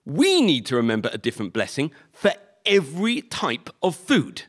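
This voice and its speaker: A man with a Liverpool accent performing a stand-up comedy monologue with a wry, deadpan delivery. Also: on the high side, normal speed. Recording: live recording with audience noise.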